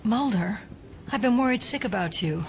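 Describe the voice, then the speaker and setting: An actress playing a guarded, anxious FBI agent, earnest and questioning, in an audio drama. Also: female voice, mid-range voice, animated, moderate pace, noisy background, natural voice. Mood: worried.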